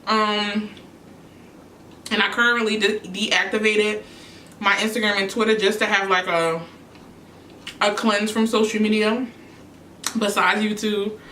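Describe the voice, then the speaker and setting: A woman's voice: mid-range voice, little pitch movement, unhurried, pauses often, pushed and bright, slight background noise. A young woman from New York chatting casually on her eating show, answering questions between bites. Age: young adult. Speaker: YouTuber. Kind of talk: casual mukbang chat. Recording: echoey room, mic at a distance.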